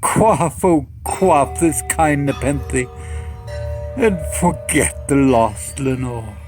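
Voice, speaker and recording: Tormented grieving man, impassioned Gothic verse, strained high pitch, music underneath. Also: lively intonation, very slow. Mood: anguished. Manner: impassioned, imploring, anguished outbursts. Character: grief-stricken, tormented man raging at an ominous raven. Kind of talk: theatrical Gothic poem recitation.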